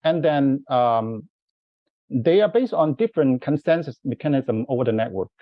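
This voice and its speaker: A man's voice: mid-range voice, animated, moderate pace, dry recording. Hesitant guy asking a question, lots of uhs and restarts, muffled dry audio. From California. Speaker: audience member asking a question.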